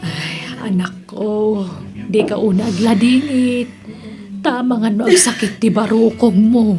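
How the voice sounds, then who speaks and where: Aktres a gumanap iti naalumamay a nataengan nga ina, adda musika. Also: female voice, fairly high pitch, steady tone, a little slow. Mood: consoling. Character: older consoling mother, devout and gentle. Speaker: actress in an audio drama. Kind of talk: Ilocano radio-style drama acting. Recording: music under the voice.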